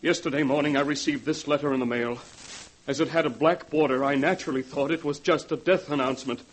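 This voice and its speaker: A man's voice: a little high, steady tone, fast, close to an everyday voice, rough recording. An actor playing an anxious, desperate ruined businessman, urgent and earnest, in 1940s radio drama style. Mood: worried. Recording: vintage 1940s radio recording.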